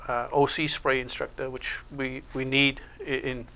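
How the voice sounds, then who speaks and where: A Welsh man chatting casually in a local TV interview, talking about himself with lots of pauses and fillers. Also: mid-range voice, creaky, rough recording. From Wales. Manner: relaxed, hesitant with many uh fillers. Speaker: show co-host and security instructor.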